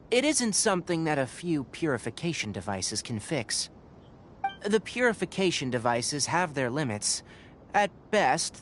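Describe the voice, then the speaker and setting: An actor playing a calm, clinical young scholar and inventor in a video game, explaining things precisely and matter-of-factly. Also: male voice, mid-range voice, slight background noise, natural voice. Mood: serious, explanatory.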